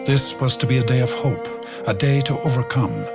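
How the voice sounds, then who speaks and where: An actor as a solemn radio-drama narrator, reciting poetic lines in a measured way. His pitch is medium, and loud fiddle music plays behind him. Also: lightly acted.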